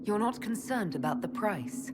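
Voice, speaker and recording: A woman's voice: mid-range voice, expressive, normal speed, background noise, close to an everyday voice. A woman voicing an earnest, questioning heroic leader in a video game, direct and concerned in manner. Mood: wary. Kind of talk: video game cutscene acting.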